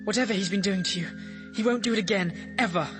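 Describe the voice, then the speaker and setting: A performer playing a controlling, coldly insistent older child in a radio drama, speaking in a deliberate, commanding way. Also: medium pitch, music in the background, natural voice. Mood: insistent, controlled.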